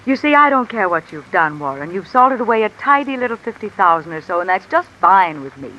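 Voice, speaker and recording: A woman's voice: mid-range voice, fairly quick, dull audio. A woman playing a calculating, discontented wife with an insinuating, controlled manner in 1950s radio mystery style. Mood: knowing, confident. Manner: insinuating, controlled, coolly commanding. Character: cool, calculating, discontented wife blackmailing a man. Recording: old radio recording with steady rain noise. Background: rain falling.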